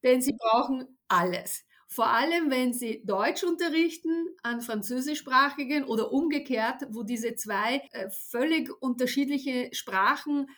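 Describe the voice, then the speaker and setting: A woman's voice: high-pitched, measured pace, few pauses, fairly bright tone. A middle-aged woman, a native speaker of Italian, explains as an expert in an interview, emphatic and didactic, often tagging her points with a short check word. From Italy. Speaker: neuroscientist and language teacher, expert guest.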